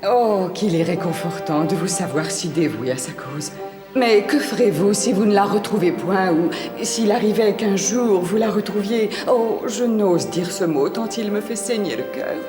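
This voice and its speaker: A woman's voice: medium pitch, lively intonation, moderate pace, echoey room, over music, lightly acted. A woman playing a vain, scheming middle-aged queen in a dubbed cartoon fairy tale, speaking in a formal, theatrical way. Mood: feigned grief.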